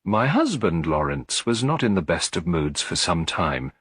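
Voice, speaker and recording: A man's voice: low voice, very animated, a little slow, a bit bright, no echo, clean studio sound, heavily acted. A man voicing a tired, strained middle-aged widow in an audiobook, cool, guarded and composed. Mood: strained, grieving. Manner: clear but weary, composed. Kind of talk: audiobook character dialogue.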